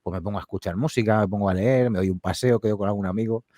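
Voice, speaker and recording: A man who makes horror audio fiction podcasts, answering interview questions in a relaxed, rambling way, with lots of fillers and restarts. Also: fairly low pitch, rapid-fire, no echo, clean studio sound. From Spain.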